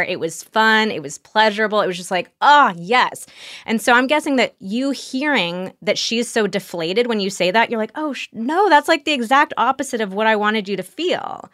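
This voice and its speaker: A woman's voice: medium pitch, a bit bright, no echo, clean studio sound. A woman working as a sex therapist, asking probing questions and reflecting feelings back in a conversational session. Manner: probing, affirming, paraphrases the other person's feelings.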